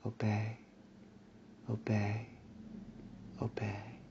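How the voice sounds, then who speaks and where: A man delivering a slow hypnotic induction in a soft, soothing voice with breathy whispers and a repetitive commanding cadence. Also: lowish voice, monotone, highs cut off, background noise. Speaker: hypnosis audio creator.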